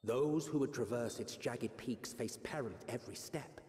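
A man's voice: medium pitch, normal speed, darkish tone, close to an everyday voice. A man acting as a solemn storyteller, giving dramatic scripted narration of a fantasy journey. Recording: roomy long reverb over noisy ambience.

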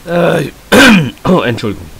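A man with a slight cold commenting casually and sarcastically on a story game, often clearing his throat. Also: on the high side, wildly swooping pitch, measured pace, a bit bright. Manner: chatty, joking, sarcastic. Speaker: let's play host. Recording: hissy home mic.

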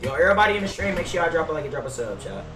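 A man's voice: fairly high pitch, very animated, rapid-fire. A young American man, a game streamer chatting casually in slangy role-play on a headset mic. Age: young adult. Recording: roomy, distant mic with loud game noise.

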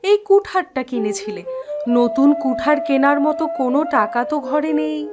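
A woman's voice: sits high, lively intonation, normal speed. A woman voicing a poor, anxious village wife and mother in a cartoon, fretful and plaintive. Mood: fretful, dejected. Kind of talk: Bengali cartoon voice acting. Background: music.

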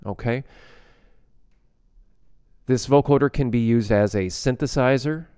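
A man giving a casual software tutorial as a music production instructor, explaining plainly step by step. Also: medium pitch, measured pace, heavy background noise.

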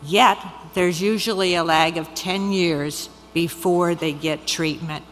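A woman's voice: on the low side, fairly even intonation, slow pace. A middle-aged woman delivering prepared opening remarks as an event chair at an awards ceremony, speaking into a podium microphone. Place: large event hall, on stage. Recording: hall reverb, background noise, podium microphone.

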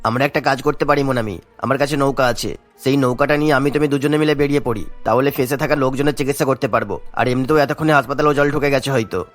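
A man's voice: mid-range voice, fast talker, soft background music, natural voice. A man voicing a kindly, dutiful elderly village doctor in a cartoon, earnest and reassuring in manner. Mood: concerned. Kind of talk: Bengali cartoon voice acting.

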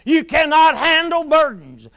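A middle-aged man preaching a sermon from the pulpit of a small church. He swings between loud, shouted lines and quiet, hushed asides. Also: extremely high pitch, unhurried. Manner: preaching in rising shouts, then dropping to a hush.

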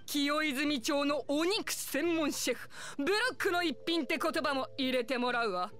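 A woman playing a proud, feisty village meat chef in an anime-style game, brisk and self-assured. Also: sits high, fairly bright tone, slight background noise. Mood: challenging.

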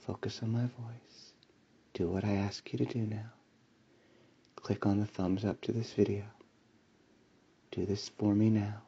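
A man delivering a slow hypnotic induction in a soft, soothing voice with breathy whispers and a repetitive commanding cadence. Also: on the low side, flat delivery, highs cut off. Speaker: hypnosis audio creator.

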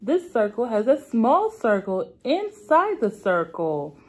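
Woman, young adult, preschool read-aloud, unhurried, slightly husky, simple repetitive phrasing. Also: a little high, very animated. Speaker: preschool teacher. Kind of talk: children's read-aloud lesson. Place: indoor classroom.

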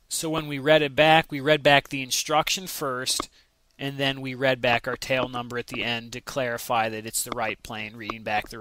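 A man's voice: medium pitch, sharp tone, a little hiss or hum, poor audio. An American man narrating an instructional lesson in a conversational, explanatory way, carefully spelling out example radio calls. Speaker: flight simulation instructor.

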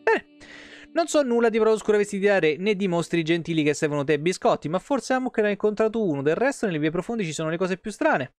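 Higher-voiced man streaming over soft music. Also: animated, quick pace, no echo. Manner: informal, breaks off to comment. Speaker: video game streamer. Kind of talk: reading game lore aloud with casual live-stream asides. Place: indoor home streaming setup. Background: soft plucked guitar game music.

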